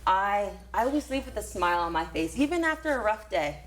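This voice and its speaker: A woman's voice: medium pitch, sing-song, brisk. A woman teacher from Florida, earnest and enthusiastic as she answers interview questions about her career. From Florida, USA.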